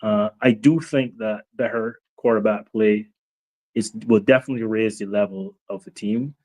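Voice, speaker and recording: A man with a Barbadian accent, a guest on a sports talk show, speaking in a measured, thoughtful way with some hesitations. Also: on the low side, a little mellow, low-quality recording. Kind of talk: casual sports chat.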